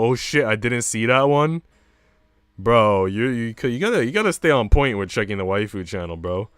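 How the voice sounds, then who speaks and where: A man's voice: lowish voice, a bit bright, smooth, no echo. A man livestreaming in casual chat, slangy and bantering with mock outrage.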